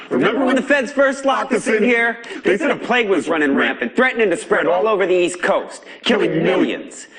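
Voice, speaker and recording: A man's voice: high voice, fairly bright tone. A man with an American accent voicing a fiery, angry and defiant underground broadcaster in a video game, speaking in an emphatic, streetwise, preachy way. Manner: emphatic, streetwise, preachy, swings between outrage and gravity. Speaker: pirate broadcaster. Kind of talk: video game voice acting, pirate broadcast monologue.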